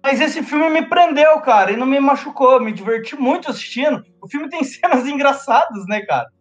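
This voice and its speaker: Slangy high-voiced podcast guy. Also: animated, brisk, soft background music. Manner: slangy, loose, laughs often. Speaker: podcast co-host. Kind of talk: casual film review chat.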